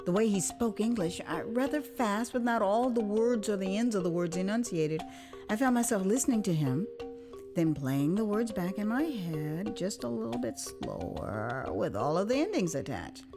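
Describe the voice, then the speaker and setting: An American woman narrating a dramatized memoir as its author, conversational and expressive, with dramatic pauses. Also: mid-range voice, music in the background. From United States (Houston, Texas). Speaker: author and storyteller.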